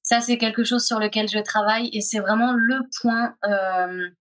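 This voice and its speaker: A woman guest answering a question reflectively, in halting speech with many fillers and pauses. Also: fairly high pitch, steady tone, fairly bright tone. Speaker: guest, a reader of the book. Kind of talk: casual interview answer.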